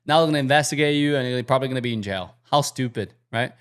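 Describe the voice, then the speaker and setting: A man's voice: mid-range voice, racing. A man from China, speaking English as a with slightly phrasing, giving blunt, casual reaction commentary as host. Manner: blunt, animated, slightly non-native phrasing.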